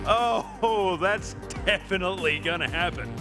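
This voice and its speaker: An actor playing a crude but good-natured mate in a video game, speaking in a blunt, colloquial and expressive way. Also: male voice, high voice, a little slow, music in the background. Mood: blunt, wry.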